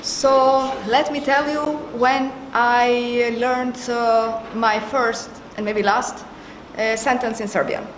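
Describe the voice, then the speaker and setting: A woman with an Albanian accent tells an anecdote in an emphatic way. Her voice sits fairly high, and the room rings around it with a little background noise. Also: steady tone, slow, roomy sound, poor audio. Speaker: youth network member. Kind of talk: personal anecdote to camera.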